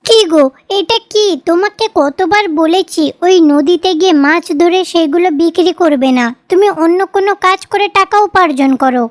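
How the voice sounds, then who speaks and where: Very high-pitched, scolding village wife, played by a woman, worried, pleading, cartoon dubbing. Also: moderate pace.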